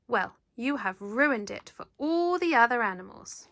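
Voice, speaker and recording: A woman voicing an indignant television man in a storybook, scolding with huffy, deliberate emphasis. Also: on the high side, expressive, a bit bright.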